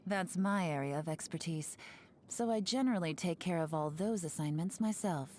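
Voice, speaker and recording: A woman voicing a sly, laid-back young woman spy for a video game, speaking in a smooth, teasing, unhurried way. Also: lowish voice, darkish tone, slight background noise, lightly acted. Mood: calm, confiding. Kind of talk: video game character voice lines.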